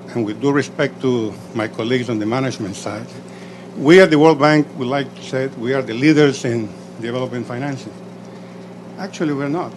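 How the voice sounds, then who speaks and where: A middle-aged man from El Salvador speaks from the floor at a panel, measured and emphatic with frequent fillers. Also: mid-range voice, a little mellow, slight background noise, rough recording. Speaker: audience member, executive director representing Central America. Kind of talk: panel discussion question from the floor. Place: conference room.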